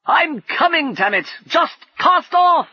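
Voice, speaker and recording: Casting: bold jaunty adventurer in a storybook reading, played by a man, loud and pitched up, slightly husky. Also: expressive, normal speed, no echo, highs cut off. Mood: shouting. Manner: shouting, hearty, clipped upper-class bluster. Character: bold reckless male adventurer, brash and jaunty. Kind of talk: audiobook character voice.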